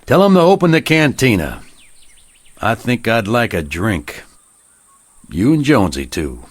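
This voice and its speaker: A man's voice: on the low side, sing-song, a little slow, no echo. A man acting a stern, weary frontier sheriff in a radio drama, terse and commanding.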